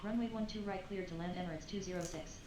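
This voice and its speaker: A woman's voice: mid-range voice, steady tone, fast. A woman voicing an airline pilot in a simulator game, giving clipped readbacks over aircraft radio. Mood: businesslike. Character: brisk female airline pilot radioing the tower. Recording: noisy, echoey, distant radio transmission.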